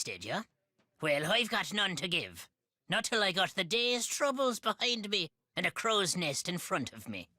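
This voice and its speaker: An actor playing a gruff, theatrical, complaining Irish pub regular in a video game. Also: male voice, sits high, pushed and bright, no room sound, heavily acted. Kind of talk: video game character dialogue.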